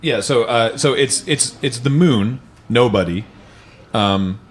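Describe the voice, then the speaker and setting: A man's voice: mid-range voice, slow pace. A man hosting, reading children's books aloud and chatting casually about them. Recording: music behind the voice. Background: background music.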